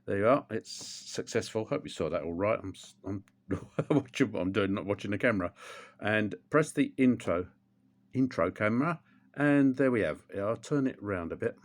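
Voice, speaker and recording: A man's voice: fairly low pitch, lively intonation, moderate pace. An older man, chatting casually through a how-to demonstration at home, rambling with plenty of ums and restarts. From England. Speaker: motorcycle vlogger.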